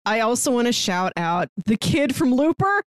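A woman's voice: on the high side, sing-song, normal speed, no room sound, clean studio sound. A woman co-hosting a podcast, talking in a chatty, enthusiastic way in a casual review conversation. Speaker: podcast co-host.

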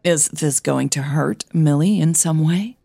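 Audio drama daughter, adult, defensive and uneasy, low-pitched with slow delivery, acted by a woman reader. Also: creaky, warm tone, dry recording, studio quality, heavily acted. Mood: worried, indignant. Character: defensive, uneasy grown daughter of a wealthy mother.

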